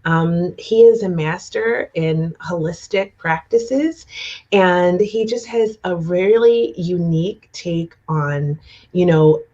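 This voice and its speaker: A woman co-host speaking in a fairly low voice. She is effusive and gushing, peppering her talk with "um"s. The audio is dull and lo-fi. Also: takes it slowly, dark tone. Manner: effusive, gushing praise with many "um"s. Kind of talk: enthusiastic talk show introduction.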